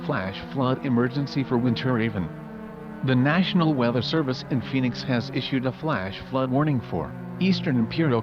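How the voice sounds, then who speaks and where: A synthetic male voice reading scripted emergency weather bulletins as an automated radio announcer, in a formal, impersonal, measured way. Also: medium pitch. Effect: weather radio broadcast. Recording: muffled noisy weather radio broadcast, humming.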